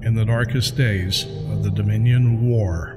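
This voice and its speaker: A man's voice: on the low side, fairly even intonation, measured pace, over loud music. A man reading a scripted show introduction as an announcer.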